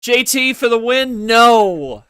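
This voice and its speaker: An excitable commentator calling a card game live like a sporting event, prone to exclamations. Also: medium pitch, takes it slowly, smooth, dry recording, studio quality. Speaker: play-by-play commentator.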